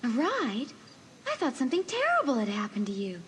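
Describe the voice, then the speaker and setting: A woman's voice: sits high, huge pitch swings, fairly quick, slight background noise. A woman voicing a worried teenage girl who talks in urgent, questioning bursts in an audio drama.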